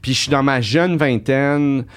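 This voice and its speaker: A young man with a Québécois French accent rambles through a personal story as a podcast guest. He stops, restarts and circles back often, piling up fillers. His pitch sits at an ordinary middle height. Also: a little slow, clean tone. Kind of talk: casual storytelling chat.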